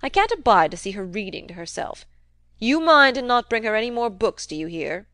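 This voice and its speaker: Crabby old landowner, loud and pushy, dry close sound, a woman reading him. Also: fairly high pitch, sing-song, fairly quick, dry recording. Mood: cantankerous. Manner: gruff, wheedling, loud and emphatic. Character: cantankerous domineering old country man.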